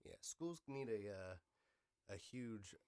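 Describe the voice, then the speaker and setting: An American man chatting casually as a podcast host in street slang, with swearing and a loose, halting delivery. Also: on the low side, expressive, darkish tone, clear, resonant tone.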